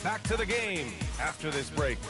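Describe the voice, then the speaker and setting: A man's voice: on the high side, very animated, moderate pace. A man commentating as a TV basketball play-by-play announcer in a video game. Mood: steady calling. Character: smooth, upbeat male TV basketball play-by-play announcer. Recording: loud music under the voice.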